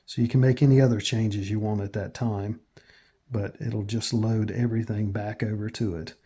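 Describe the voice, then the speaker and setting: American guy doing a casual how-to, kinda husky and mellow, plain talk w/ little pauses. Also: fairly low pitch, steady tone. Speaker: tutorial host. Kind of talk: casual step-by-step tutorial.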